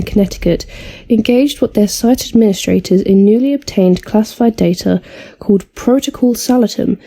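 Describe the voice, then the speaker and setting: A woman's voice: medium pitch, warm tone, husky. Woman acting as muffled, formal female news anchor. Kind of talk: scripted broadcast news reading.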